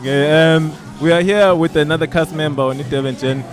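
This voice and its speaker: A man's voice: on the high side, fairly even intonation, brisk. A South African man hosting an interview, playful and switching languages mid-sentence. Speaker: host. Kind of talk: casual interview.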